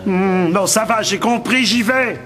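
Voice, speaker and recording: A man playing a fussy, good-natured and hesitant king in an animated fairy tale. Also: sits high, a little slow, over music. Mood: grumbling. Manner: hesitant, dithering, mildly grumbling.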